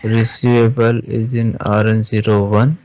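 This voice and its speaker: A man teaching software step by step in an informal screen-share tutorial, halting and often checking understanding with questions. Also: fairly low pitch, level tone, no echo. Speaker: SAP software trainer. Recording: dull, lo-fi online class audio.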